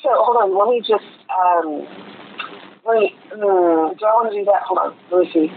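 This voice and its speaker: A man's voice: high voice, moderate pace. A man chatting casually as a podcast co-host in a rambling, chatty way, with some casual swearing. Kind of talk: casual chat. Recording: tinny phone-like line with clear noise.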